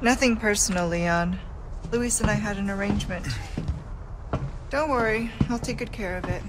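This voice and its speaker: An actress playing a cool, self-possessed secret agent in a video game, composed, clipped and sly. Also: female voice, medium pitch, moderate pace, close to an everyday voice. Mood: cool, teasing. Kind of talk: video game cutscene acting. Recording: loud helicopter noise behind.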